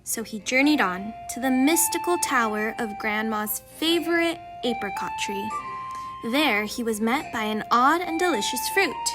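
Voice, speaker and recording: A woman's voice: on the high side, animated, normal speed, over music. A woman reading a children's storybook aloud in a dramatic, mock-epic manner. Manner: theatrical, mock-epic delivery. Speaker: children's picture book narrator.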